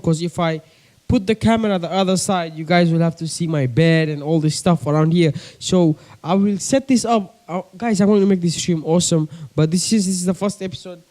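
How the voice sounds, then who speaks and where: A man's voice: sits high, warm tone. A young man from Kenya with a coastal Kenyan accent, chatting casually to camera from his bedroom about his hobby and often calling his viewers guys. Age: young adult. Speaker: pigeon-keeping vlogger. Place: bedroom with a desk.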